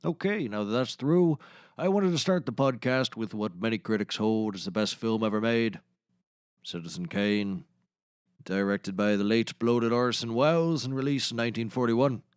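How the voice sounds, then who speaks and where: An actor playing a gruff, deadpan outdoorsman and old sea hand in a scripted comedy audio show, with a dry storytelling manner. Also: medium pitch, moderate pace, no echo, close to an everyday voice. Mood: podcast hosting.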